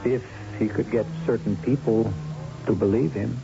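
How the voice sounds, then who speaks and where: Gravelly, portentous radio host, man. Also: lowish voice, steady tone. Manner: measured, wry, portentous. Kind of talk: dramatic scripted radio narration. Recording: dull old radio broadcast recording. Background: music.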